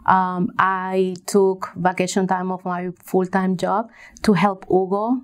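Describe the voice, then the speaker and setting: Female interview guest from Puerto Rico, slightly low voice, conversational and halting storyteller. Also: little pitch movement. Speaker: interview guest, business coach.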